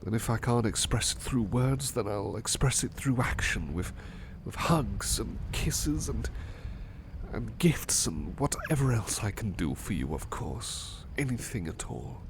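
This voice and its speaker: A man playing a tender, courteous butler in love, murmuring gently and hesitantly in a soft romantic ASMR roleplay. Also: lowish voice, animated, unhurried, noisy background. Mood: earnest, tender.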